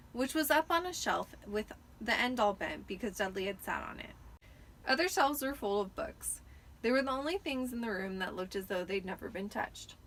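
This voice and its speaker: A woman reads a story aloud dramatically, slightly drunk. Her voice is fairly high and very bright, with faint noise behind it. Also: low-quality recording. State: tipsy from wine. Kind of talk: dramatic amateur audiobook narration.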